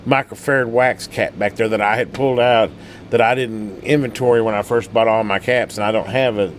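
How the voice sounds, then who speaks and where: A man with a fairly low voice talks in a colloquial, folksy manner. He rambles casually as he demonstrates hobby repair work, with a faint layer of noise behind him. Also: a little fast. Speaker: radio repair hobbyist and channel host. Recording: steady background hum and noise.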